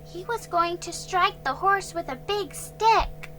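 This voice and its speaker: A woman's voice: very high-pitched, slow pace, bright, forceful tone, a little hiss or hum, voice pushed up, full character voice. A timid, anxious little girl, as a woman reads her. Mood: eager. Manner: anxious, tattling.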